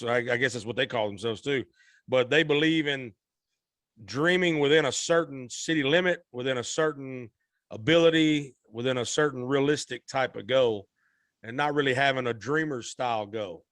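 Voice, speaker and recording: A man in his thirties from Arkansas, a guest singer telling his story in a relaxed, rambling, folksy way, often correcting himself. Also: medium pitch, no echo, clean studio sound.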